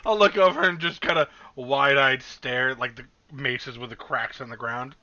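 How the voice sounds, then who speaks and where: A man chatting excitedly and casually as a player in an online tabletop game, slangy and swearing freely. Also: medium pitch, moderate pace, no room sound, lo-fi sound.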